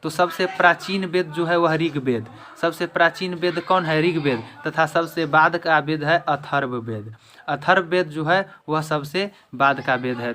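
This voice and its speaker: Bright, high-voiced man teaching. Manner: repetitive, emphatic restating of key points. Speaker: exam-prep teacher and video channel host. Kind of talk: quiz lesson, explaining question and answer. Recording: clear background noise.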